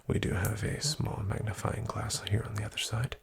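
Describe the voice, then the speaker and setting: A man whispering as a formal, dryly ironic doctor in a soft-spoken ASMR roleplay. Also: lowish voice, normal speed, vocal fry, a little hiss or hum.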